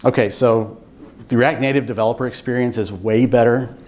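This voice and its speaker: An older man presents technical material to a meetup audience. He explains in an informal, measured way, with a voice of ordinary male pitch. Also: fairly even intonation, darkish tone. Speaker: software engineer giving a talk. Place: meetup room with seated audience, indoors.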